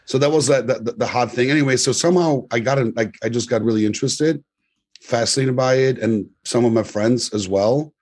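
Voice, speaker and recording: A man's voice: medium pitch, fairly quick, a little mellow, husky. A man in his forties, originally from Poland and raised in Germany, talking as a guest in a loose, conversational way with frequent restarts. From born in Poland, grew up in Germany. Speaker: guest, tech company CEO. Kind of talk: podcast interview.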